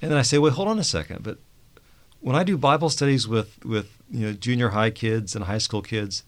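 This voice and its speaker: A man's voice: mid-range voice, fairly quick. A man on a Bible study panel who explains the passage, often repeating words and ending points with "right?". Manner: repeats words while thinking, adds tag questions.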